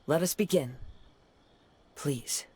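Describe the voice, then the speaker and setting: An actor playing a calm, courteous young wandering samurai, speaking softly and politely in a video game. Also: male voice, a little high, slow, husky. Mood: calm, resolute.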